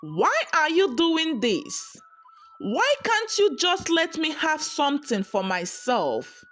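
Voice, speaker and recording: A woman's voice: sits high, wildly swooping pitch, takes it slowly, fairly bright tone, soft background music, voice pushed up, exaggerated voice. A woman voicing a hurt young sister in a folktale, pleading as she confronts someone.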